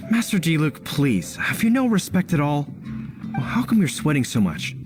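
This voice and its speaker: An actor playing an earnest, awkward, suspicious knight in an anime game, speaking in a polite, hesitant, formal way. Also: male voice, fairly high pitch.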